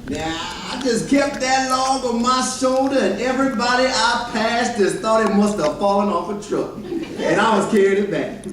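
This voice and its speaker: Stage storytelling: male actor as sly folk-hero convict, folksy delivery, high voice, distant and heavily reverberant. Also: moderate pace, natural voice. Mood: sly, amused. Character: folk-hero escaped convict telling his sly tale. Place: large echoey chapel during a live performance. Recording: very echoey hall, distant mic, noisy.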